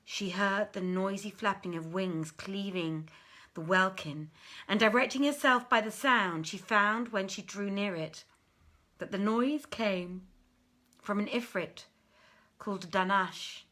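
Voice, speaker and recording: A woman reading a literary tale as a dramatic storyteller, with measured, deliberate phrasing and theatrical pauses. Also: mid-range voice, bright, forceful tone. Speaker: storyteller, audiobook reader.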